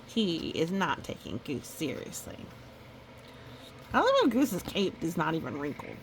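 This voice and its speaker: Slow, expressive woman storyteller. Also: sits low, slight background noise, low-quality recording. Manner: expressive, exclaiming, addresses young listeners. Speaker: picture-book read-aloud host. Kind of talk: children's storybook narration with chatty asides.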